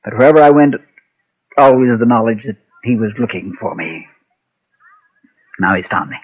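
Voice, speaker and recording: Guilt ridden ex gunslinger preacher, lowish voice, halting and solemn, old muffled radio western. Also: male voice, expressive, breathy edge. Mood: haunted, sorrowful. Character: guilt-ridden former preacher and gunfighter, weary and haunted. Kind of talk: Golden Age radio western acting. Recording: muffled, thin vintage radio recording.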